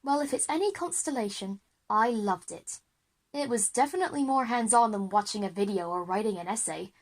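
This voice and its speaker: A woman voicing excitable, curious schoolchildren in an audio drama, eager and exclaiming. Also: medium pitch, fairly quick. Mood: delighted.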